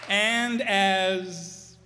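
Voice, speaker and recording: A man hosting a live show, informally addressing the audience in a halting, unscripted way. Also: high voice, a loud crowd. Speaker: host introducing the cast. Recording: echoey hall, audience, background noise.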